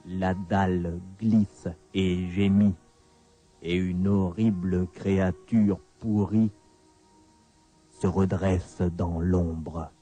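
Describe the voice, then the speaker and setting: A man narrating a scripted radio horror story in a deliberate, ominous way. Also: sits low, fairly even intonation, mellow. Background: faint music.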